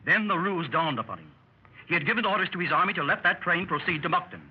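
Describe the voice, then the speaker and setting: A man's voice: high-pitched, animated, fast, husky. An actor playing a dashing lieutenant adventurer telling his escapade with old-fashioned exclamations, in 1930s radio style. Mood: jaunty recounting. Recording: muffled 1930s radio recording with music.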